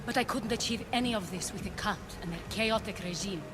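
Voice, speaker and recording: An actress playing a poised, idealistic ancient Greek political schemer in a video game cutscene, speaking in a measured, persuasive way. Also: female voice, mid-range voice, lightly acted. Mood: grave, confiding. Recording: music playing behind the voice.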